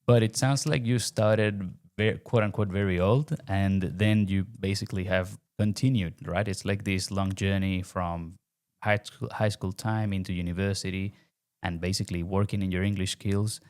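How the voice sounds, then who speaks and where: A man's voice: low voice, fairly even intonation, normal speed, a little mellow. A man hosting a podcast as an English test teacher, asking his guest direct, friendly questions. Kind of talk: podcast interview.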